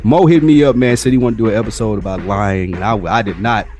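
A man's voice: fairly low pitch, quick pace, a little mellow, faint music behind. A man co-hosting a podcast, telling stories and bantering in a casual, conversational way. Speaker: podcast co-host.

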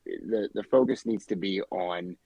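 A man in a casual church discussion, speaking in a halting, thoughtful way with repeated words. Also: deep voice, creaky, mellow, no echo. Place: New England.

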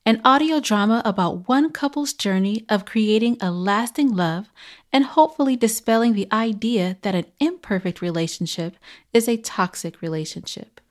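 A woman podcast host reads scripted announcements. Her voice sits at a middle pitch, and she speaks directly to the listeners as she presents the show and its sponsor. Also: moderate pace.

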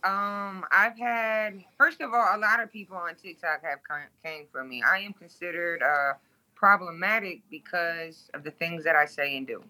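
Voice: mid-range voice, normal speed, sharp tone, rough recording. A guest from Toledo, Ohio, speaking bluntly and candidly in an interview, swearing freely.

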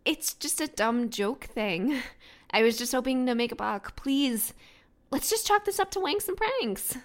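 A woman's voice: fairly high pitch, a little slow, pitched above the performer's own voice. A woman voicing a frightened young heroine who babbles nervously and pleads her way out of trouble. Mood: pleading. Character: flustered young woman caught in danger.

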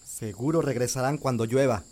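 An actor playing a young farmer and husband who speaks calmly and reassuringly. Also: male voice, mid-range voice, a little fast, close to an everyday voice. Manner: calm, reassuring. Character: young male farmer Gabriel, hopeful husband. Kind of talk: radio drama acting.

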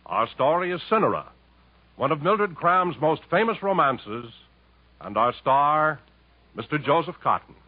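Old time radio host guy, slightly high husky voice, emphatic, tinny recording w faint music. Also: big pitch swings, unhurried. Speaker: radio show host and announcer. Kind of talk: 1950s radio announcing, dramatic scripted introduction. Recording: narrow-band 1950s radio recording.

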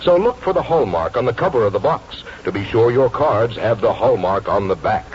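Male announcer, American accent, lively swinging intonation, scripted 1940s radio ad read, dull old recording. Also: fairly low pitch, moderate pace, slight background noise.

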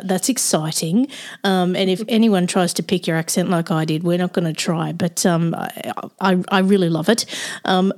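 A woman hosting a podcast, reading a measured introduction and then chatting with her guest. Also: fairly low pitch. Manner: warm, measured intro; loose chat with fillers. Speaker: podcast host. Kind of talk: scripted intro, then interview chat.

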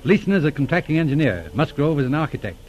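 Shrewd radio police inspector, expressive delivery. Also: male voice, on the high side, fast, muffled recording. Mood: calmly probing. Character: shrewd, calm older police inspector, courteous but probing. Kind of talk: 1940s radio drama acting. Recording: old radio drama recording.

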